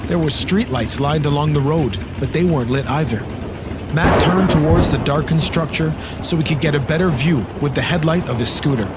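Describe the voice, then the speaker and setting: A man's voice: fairly high pitch, normal speed, heavy background noise. A man narrating a scripted horror audio drama, with storm and engine sound effects behind him. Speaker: story narrator. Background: loud storm and vehicle ambience.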